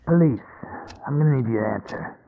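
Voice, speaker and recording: A man playing a deadpan, commanding police officer in a radio comedy, heard muffled as if through a door. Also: medium pitch, brisk, creaky. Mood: stern, deadpan. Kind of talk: old-time radio comedy acting.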